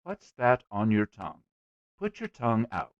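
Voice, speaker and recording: A man's voice: on the low side, wildly swooping pitch, normal speed, no room sound, muffled recording. An irate storybook father, acted out by a male narrator, who bellows and barks his orders in a dry, close recording. He is middle-aged and short-tempered, with an explosive manner. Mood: exasperated, furious. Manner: roaring, explosive commands.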